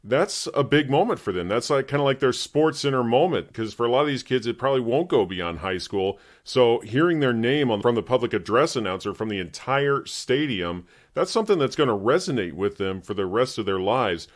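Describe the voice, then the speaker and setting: A man's voice: mid-range voice, animated, brisk, hardly any pauses. Man, American accent, mid-range voice, chatty interview host, conversational sports talk.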